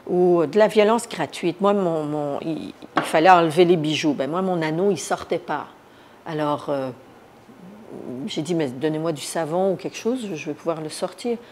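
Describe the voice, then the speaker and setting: A woman from Iran giving a spontaneous first-person account in an interview, often pausing to search for words. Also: low-pitched, darkish tone. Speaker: interviewee, activist recounting her detention. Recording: noticeable background noise.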